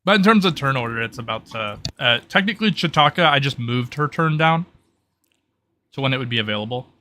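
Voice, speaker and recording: A man's voice: medium pitch, animated, fairly quick, bright, forceful tone. A man running a tabletop roleplay game, explaining things casually and thinking aloud in a rambling, informal way. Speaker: game master.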